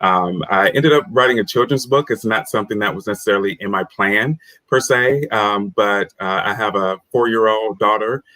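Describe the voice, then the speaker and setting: A man from the United States, a guest author and career coach, answering interview questions in a measured, reflective way. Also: on the low side, sharp tone, no room sound, low-quality recording.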